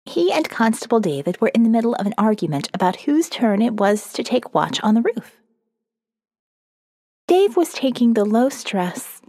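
Woman acting young female diarist, wry, foul-mouthed, conversational audio drama narration, very animated pitch, close dry sound with a reverb tail. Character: sardonic young female college student keeping a survivor's diary. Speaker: audio novel reader. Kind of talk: first-person diary narration, dramatic reading.

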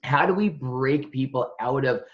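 A man's voice: mid-range voice, measured pace. A man hosting a podcast rambles through long, meandering questions, filling them with "like" and "you know." His voice comes through slightly dull. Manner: rambling, many filler "like"s. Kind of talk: podcast interview.

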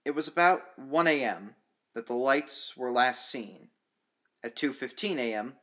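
A man narrating a classic horror story as an audiobook, in a formal, measured literary reading. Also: mid-range voice, fairly bright tone.

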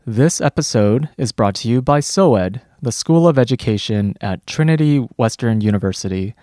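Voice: medium pitch, expressive, moderate pace, mellow. A podcast host interviewing a guest, giving short encouraging acknowledgements and then closing the episode. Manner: brief encouraging backchannels.

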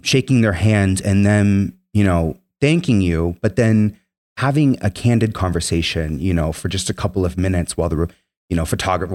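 A man's voice: low-pitched, a little mellow. A young man telling his story as an interview guest, earnest and meandering, full of "um" and "you know". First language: Spanish. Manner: heavy fillers, earnest, meandering. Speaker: guest, former military member.

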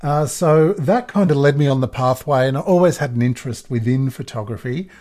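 A middle-aged man with an Australian accent and a croaky voice talks about his work. He is relaxed and chatty, often breaking into a laugh. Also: mid-range voice, fairly quick. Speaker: guest photographer. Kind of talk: casual podcast interview.

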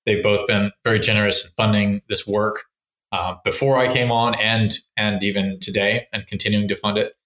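A man with a fairly low voice and a bright, forceful edge talks through his research in a loose, rambling way, often restarting his sentences. His voice echoes in a roomy space, and the audio sounds a little dull. He comes across as a researcher being interviewed on a podcast. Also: steady tone, moderate pace. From Texas. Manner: rambling, many restarts and fillers. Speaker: wildlife researcher, podcast guest. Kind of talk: casual explanatory interview talk.

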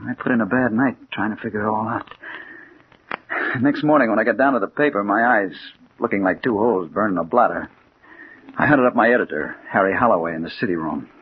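A man's voice: on the low side, close to an everyday voice. Male actor as hard-boiled American newsman, impatient, slangy, haunted, 1940s radio drama, muffled recording with faint hiss. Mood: grim. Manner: tough, slangy, dramatic delivery. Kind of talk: 1940s radio drama acting, first-person narration.

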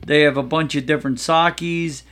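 A man's voice: on the high side, fairly even intonation, moderate pace, a bit bright, a little hiss or hum. A man hosting a casual chat, joking and stumbling over food names as he reads a menu aloud. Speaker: podcast host.

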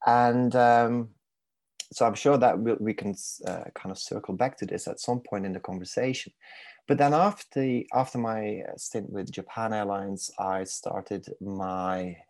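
A man's voice: fairly low pitch, fairly even intonation, unhurried, a little mellow, no echo. A man in his forties from Belgium with a Belgian accent touched by Manchester, speaking reflectively as a mindfulness coach on a podcast. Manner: thoughtful, searching for words, self-deprecating humour.

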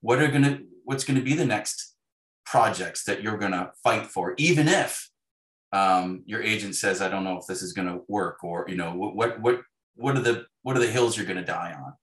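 Low-voiced man in his fifties, echoey room. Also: normal speed, a bit bright. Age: 50s. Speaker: author, interview guest. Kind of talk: reflective conversational interview. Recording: echoey, roomy sound.

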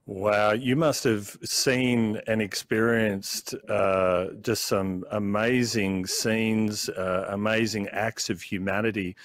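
A man hosting a conversational church interview, feeling his way through questions in a halting manner. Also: on the low side, fairly even intonation. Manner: halting, searching for words.

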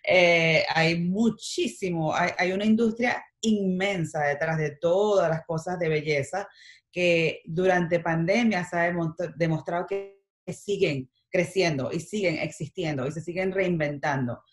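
A middle-aged Venezuelan woman talks in a fairly low voice with a Venezuelan Spanish accent. She chats with animated energy and slips English words into her speech. The room around her rings with echo. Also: unhurried. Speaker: guest, makeup artist. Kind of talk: casual interview chat.

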